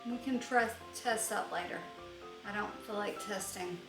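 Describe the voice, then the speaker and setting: A woman's voice: mid-range voice, unhurried, distant mic. A woman chatting and bantering casually as co-host of a home vlog. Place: indoors in a trailer home. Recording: roomy echo, off the mic, faint music.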